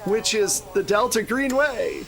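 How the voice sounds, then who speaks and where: A man's voice: extremely high pitch, big pitch swings, slow pace. A man speaking as game master and host of an actual-play podcast, in a conversational style.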